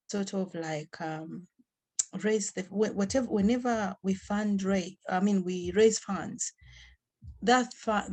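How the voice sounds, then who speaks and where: A woman from Zambia with a Zambian accent gives unscripted interview answers as a charity founder, haltingly, often repeating and rephrasing. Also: medium pitch, darkish tone.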